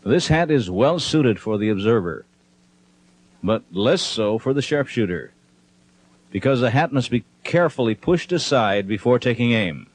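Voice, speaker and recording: A man reading scripted narration for an instructional documentary film in a measured, instructive manner. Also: medium pitch, expressive.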